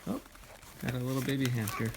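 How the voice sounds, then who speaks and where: Father reading aloud, male, gentle and conversational, storybook narration mixed with casual questions for a child. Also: mid-range voice, level tone, fast talker, creaky, noisy background.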